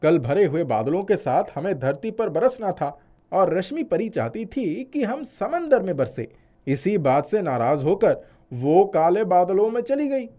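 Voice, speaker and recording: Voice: mid-range voice, big pitch swings, unhurried, mellow. Haughty cloud spirit with swooping voice, acted out. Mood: smug, defiant. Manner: pompous, self-righteous. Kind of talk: animated storybook character acting.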